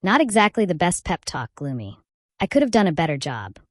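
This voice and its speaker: A woman's voice: fairly low pitch, big pitch swings, a little fast, dry recording. A woman voicing a cautious, critical clawed animal companion in a visual novel, dry and disapproving. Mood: snide. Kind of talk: scripted visual novel character dialogue.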